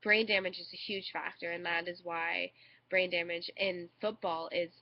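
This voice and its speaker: A woman's voice: on the low side, flat delivery, normal speed. A young woman with a congested cold, giving a hesitant student speech into a webcam with many fillers. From United States. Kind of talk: informal recorded speech. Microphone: webcam microphone. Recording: dull lo-fi webcam audio.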